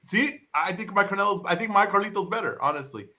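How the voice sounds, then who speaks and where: A man's voice: high voice, fast, sharp tone. A man on a sports show panel, chatting casually and giving his analysis.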